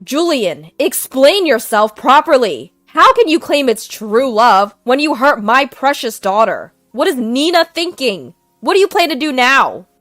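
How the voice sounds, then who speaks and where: A woman's voice: sits high, very animated, unhurried, few pauses, no room sound, soft background music, pitched above the performer's own voice, heavily acted. A woman doing a furious, demanding father. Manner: loud, angry.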